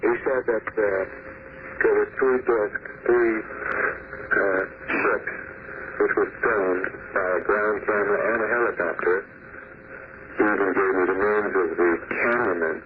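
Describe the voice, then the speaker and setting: A man recalling events in an informal interview, with halting, hesitant phrasing, taped and played down a phone. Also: on the low side, narrow, tinny audio, background noise. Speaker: interviewee, television producer and writer. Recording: thin tape played down payphone line.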